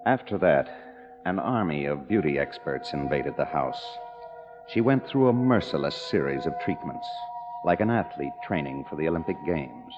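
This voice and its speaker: An actor playing a wry, cynical young screenwriter in an old noir radio drama, with a dry, casual, hard-boiled delivery. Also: male voice, rumbling low, big pitch swings, moderate pace, over music. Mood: wry narration. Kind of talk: mid-century noir radio acting and narration. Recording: dull old radio recording.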